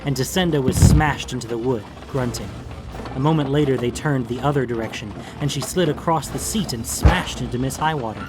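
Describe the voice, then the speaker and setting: A man's voice: medium pitch, brisk, heavy background noise. A man reading a scripted fantasy story as an audiobook narrator, in a dramatic storytelling style. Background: background music.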